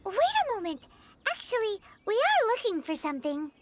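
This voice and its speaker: A woman voicing a tiny, excitable, greedy fairy girl companion in a video game, with a squeaky childlike voice and bubbly delivery. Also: normal speed, heavy background noise, heavily acted. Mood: eager. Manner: bubbly, speaks of herself in third person.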